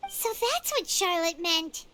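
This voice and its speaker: Very high childlike fairy girl, lively swooping pitch, curious, caring, video game companion, noisy recording. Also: takes it slowly, full character voice. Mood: puzzled.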